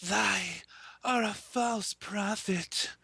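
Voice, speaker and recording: A woman's voice: medium pitch, drawn-out words, creaky, pushed and bright, breathy edge, dry recording. A woman doing a dramatic amateur reading of a fan story for a podcast.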